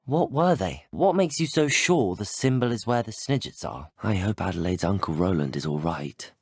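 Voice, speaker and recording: A man's voice: fairly low pitch, expressive, moderate pace, a little mellow, husky, dry recording, clean studio sound. A man playing a polite, inquisitive teenage wizard student in a video game, with a British accent and courteous, articulate delivery.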